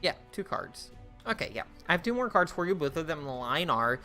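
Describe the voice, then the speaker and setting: A man's voice: a little high, very animated, moderate pace, pushed and bright, faint music behind. A young man casually reviewing cards for a card game, thinking aloud with many fillers and restarts, his voice getting worn. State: losing his voice.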